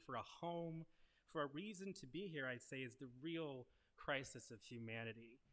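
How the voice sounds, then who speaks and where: A man's voice: mid-range voice, pushed and bright. A young man giving an earnest, conversational sermon at a lectern, speaking into a headset mic. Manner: earnest, conversational preaching with rhetorical questions. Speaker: preacher. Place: wood-paneled church sanctuary at a lectern. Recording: long church reverb.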